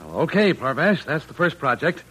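Casting: brash engineer boss for old radio drama, animated, slightly high voice, take-charge pep-talk delivery. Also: male voice, normal speed, breathy edge, exaggerated voice, poor audio. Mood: brisk. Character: brash, confident male lead engineer, pep-talking like a coach. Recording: vintage radio drama recording.

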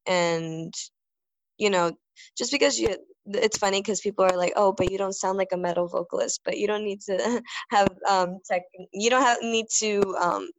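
A woman's voice: mid-range voice, dry recording, poor audio. A young woman vocalist answering interview questions in a casual, rambling way, full of fillers and restarts. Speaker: band vocalist.

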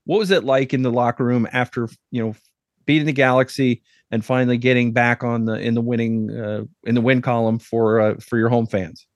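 A man's voice: medium pitch, fairly even intonation, brisk, no room sound. A man hosting a podcast, interviewing a guest and chatting with his co-host in an upbeat, chatty way.

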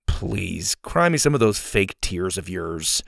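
Man playing a snappy, excitable schoolboy, very animated. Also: low-pitched, slow, gravelly, dry recording, clean studio sound, voice pushed down, exaggerated voice. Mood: sarcastic. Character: sarcastic, hot-tempered schoolboy who turns panicky in trouble.

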